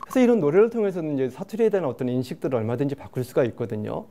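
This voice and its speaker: A man's voice: fairly high pitch, rapid-fire. A man lecturing a studio panel on regional dialects in songs, putting questions to his listeners. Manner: animated, asks the audience questions.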